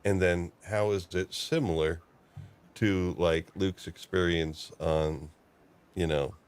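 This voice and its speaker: Low-pitched man, casual podcast host. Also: fairly even intonation, takes it slowly, clean tone. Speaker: podcast host interviewing a writer. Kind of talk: casual interview chat.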